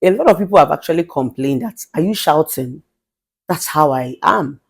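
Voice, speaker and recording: A woman's voice: deep voice, lively intonation, moderate pace, gravelly, breathy edge. A middle-aged Nigerian woman, a relationship therapist, giving emphatic advice with an authoritative, commanding voice and bits of Pidgin. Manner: forceful, emphatic, mixes in Pidgin phrases. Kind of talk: motivational advice monologue.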